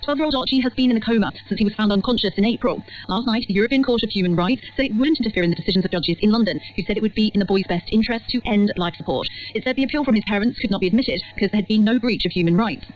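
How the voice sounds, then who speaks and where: A woman's voice: mid-range voice, racing, hardly any pauses. A woman reading a scripted news report as a radio reporter. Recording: muffled shortwave radio sound.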